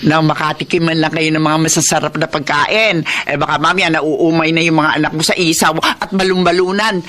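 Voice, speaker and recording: A woman's voice: low-pitched, steady tone, a little fast, a bit bright. An actress playing a boastful, chatty middle-aged mother in a Filipino radio drama, with an effusive Filipino way of speaking. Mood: generous, showy. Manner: bragging, effusive.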